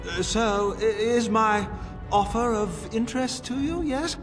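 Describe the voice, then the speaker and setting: Video game villain: oily, theatrical slave-dealing mage, cowardly underneath, slightly high voice, music behind. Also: male voice, measured pace. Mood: pleading, nervous. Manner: smooth, mannered, theatrical bargaining. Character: slippery male slave-trading mage, smooth dealmaker turned pleading coward. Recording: long hall reverb, music behind.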